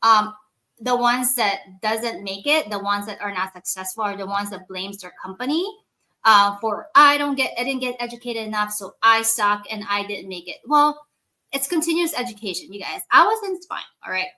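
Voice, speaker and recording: Non-native English-speaking woman host, preachy and emphatic, sharp bright tone. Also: medium pitch, animated, normal speed, rough recording. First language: not English. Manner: emphatic, lecturing, addresses listeners directly. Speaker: podcast host. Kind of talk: motivational podcast talk.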